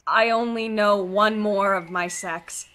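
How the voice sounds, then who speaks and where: An actress playing an innocent, tender-hearted, sheltered young maiden in a Shakespeare radio play, earnest and pleading. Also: female voice, medium pitch, fairly even intonation, takes it slowly, bright, forceful tone. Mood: shy candour.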